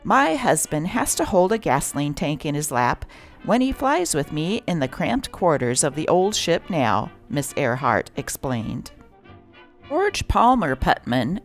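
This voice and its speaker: A woman reading a script aloud as host and narrator, with a theatrical delivery. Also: low voice.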